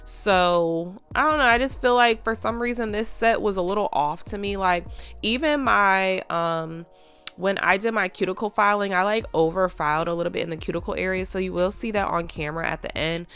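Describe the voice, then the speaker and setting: A woman's voice: medium pitch, brisk, a bit bright, clean tone, soft background music. A woman with a stuffy, congested voice, chatting casually to viewers as a nail tech vlogger, with lots of fillers.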